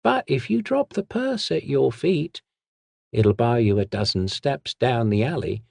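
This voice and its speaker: A man's voice: mid-range voice, very animated, measured pace, vocal fry, darkish tone, dry recording, clean studio sound, pitched above the performer's own voice, exaggerated voice. A man voicing a menacing female body snatcher who speaks in a rattling, hissing whisper.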